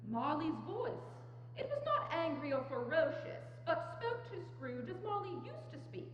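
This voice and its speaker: High, animated woman narrator. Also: normal speed. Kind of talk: scripted dramatic narration. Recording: noisy, muffled, very echoey hall, hum.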